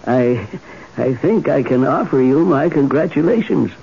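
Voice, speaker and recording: An actor playing an older, self-important, paternal company boss with a husky voice in a radio drama. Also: male voice, medium pitch, expressive, unhurried, heavy background noise. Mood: genial. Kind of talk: 1970s radio drama acting. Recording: dull, muffled old radio recording.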